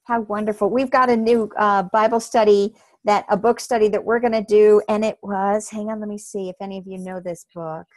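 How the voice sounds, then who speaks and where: A woman's voice: mid-range voice, fairly even intonation, fairly quick, hardly any pauses, a little mellow, dry recording. A woman from the New Jersey shore, hosting an online book study as a casual video call. She is upbeat and welcoming and often laughs.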